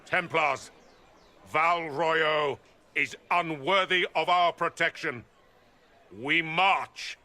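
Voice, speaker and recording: An actor playing a cold, imperious templar commander who speaks slowly and with contempt in a game cutscene. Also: male voice, a little high, sharp tone, heavily acted. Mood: scornful. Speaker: templar leader.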